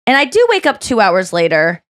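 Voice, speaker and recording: A woman hosting a podcast in a studio, chatty and animated, her voice a little smoky this morning. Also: mid-range voice, no echo. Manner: chatty, quick asides and impressions. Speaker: podcast host. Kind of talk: casual chat. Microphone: studio microphone.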